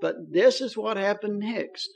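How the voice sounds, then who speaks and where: A man's voice: sits high, measured pace, vocal fry. A man with a Kentucky accent preaching a sermon in a folksy, joking way, with many fillers. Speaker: pastor.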